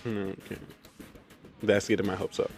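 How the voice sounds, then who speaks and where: A man's voice: lowish voice, moderate pace, soft background music. A man hosting a casual gaming stream, joking around and laughing often. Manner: playful, laughs often, lively. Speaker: stream host.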